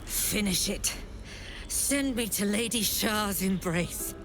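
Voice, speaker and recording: An actress playing an imperious, zealous female cult leader in a video game, speaking in a grandiose, deliberate and commanding way. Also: medium pitch, music in the background. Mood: defeated, resigned. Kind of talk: dramatic video game voice acting.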